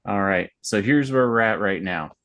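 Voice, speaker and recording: A man's voice: on the low side, animated, normal speed, a bit bright. A man acting as game master, narrating and managing turns in an online tabletop game.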